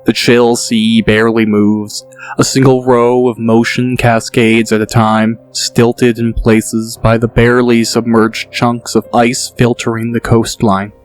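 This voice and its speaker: A man reading scripted audiobook narration in a measured, descriptive way. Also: medium pitch, flat delivery, soft background music. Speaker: author and narrator.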